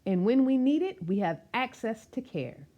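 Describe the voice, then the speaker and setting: A woman reading a rhyming picture book aloud as a storyteller, expressive and emphatic, with questions and asides to her listeners. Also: a little high, moderate pace, muffled recording.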